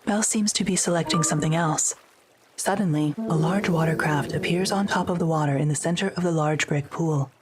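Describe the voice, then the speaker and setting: A woman narrating a scripted audio drama in a measured, descriptive way. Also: low voice, a crowd in the background.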